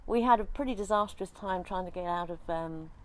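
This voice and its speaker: Chatty older woman, lowish voice. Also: fairly quick, low-quality recording. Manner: chatty, thinks aloud with many ums. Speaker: interviewee, sailor. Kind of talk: casual interview chat. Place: outdoors in a leafy garden.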